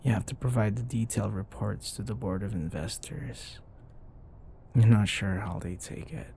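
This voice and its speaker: Low, gravelly grumpy office rival played by a man. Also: normal speed, dark tone, a little hiss or hum. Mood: soft, concerned. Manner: exasperated, blunt, conversational, sighing. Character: grumpy, sarcastic male office rival, grudgingly caring. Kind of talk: intimate ASMR boyfriend roleplay acting.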